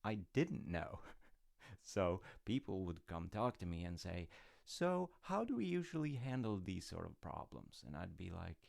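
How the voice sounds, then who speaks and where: A man playing a reflective, encouraging father in an intimate audio roleplay, musing and rambling in a deep, gravelly voice. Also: sing-song, exaggerated voice. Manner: unhurried, musing, gently reassuring.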